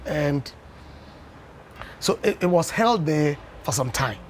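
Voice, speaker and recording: A Ghanaian man in his fifties, a former dance champion, tells unhurried stories as a guest on a breakfast TV interview, often adding "you know.". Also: fairly high pitch, lively intonation, slight background noise. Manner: anecdotal storyteller, unhurried with many "you know"s. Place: television studio.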